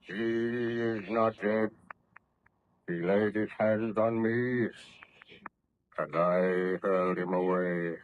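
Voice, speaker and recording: An actor playing a menacing, vengeful monster, slow and commanding, in the declamatory style of 1930s radio horror. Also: male voice, fairly low pitch, steady tone. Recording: dull lo-fi old radio broadcast, faint noise.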